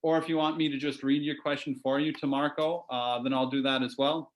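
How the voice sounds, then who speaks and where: A man's voice: medium pitch, little pitch movement, brisk, rough recording. A man hosting an online session, introducing a guest and explaining how to ask questions in the chat, with frequent hesitations. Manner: hesitant, lots of fillers. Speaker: host. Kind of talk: introducing a guest on a video call.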